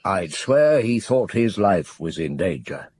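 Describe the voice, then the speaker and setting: A man playing a weary, thoughtful middle-aged chief police inspector in a radio drama, questioning in an unhurried way with murmurs and sighs. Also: low-pitched, very animated, darkish tone, husky, no echo, exaggerated voice. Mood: pensive, troubled.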